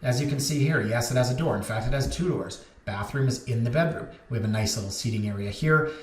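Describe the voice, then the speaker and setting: Brief: realtor in his thirties, chatty and self-mocking, mid pitch, recorded in a roomy space. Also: fast. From Canada. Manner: chatty, joking, self-deprecating. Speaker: realtor and vlog host. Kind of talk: casual rambling talk to camera. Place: office.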